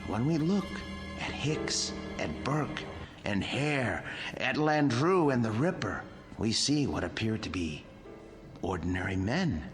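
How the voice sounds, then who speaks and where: An actor playing a devoted, intense museum curator in an audio drama, precise, polite and theatrical, with music underneath. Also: male voice, on the low side, big pitch swings, slow. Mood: macabre, theatrical. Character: devoted, brooding middle-aged male wax museum curator, deferential and intense.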